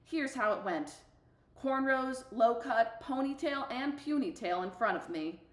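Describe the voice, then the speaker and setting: Echoey, fairly high-voiced woman reading aloud. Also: expressive, unhurried. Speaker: book reader for middle schoolers. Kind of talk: expressive novel read-aloud. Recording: echoey room.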